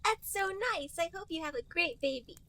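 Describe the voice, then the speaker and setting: A woman voicing an earnest cartoon schoolgirl in an exaggerated squeaky voice. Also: lively intonation, brisk, pushed and bright, no room sound, exaggerated voice. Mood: sweet, earnest. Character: Amy, earnest high-pitched cartoon schoolgirl character. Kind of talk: acted fan-fiction dialogue reading.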